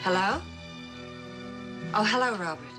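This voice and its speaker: A woman's voice: medium pitch, expressive, a little slow, music in the background, close to an everyday voice. An actress playing a poised, flirtatious woman in a mid-century television drama. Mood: coy, familiar.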